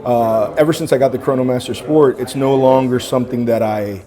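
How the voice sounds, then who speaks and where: A man's voice: mid-range voice, fairly even intonation, a little fast. A man in his forties talking casually about his collection, with rambling restarts and a laid-back way of speaking. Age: 40s. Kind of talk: casual conversation. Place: indoor watch boutique event. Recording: voices over a chatty crowd.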